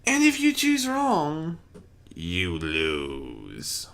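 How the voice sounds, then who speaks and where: A man's voice: medium pitch, wildly swooping pitch, drawn-out words, fairly bright tone, pitched above the performer's own voice, exaggerated voice. A man voicing a dry, theatrical male trickster spirit with a taunting edge in a cartoon fan story.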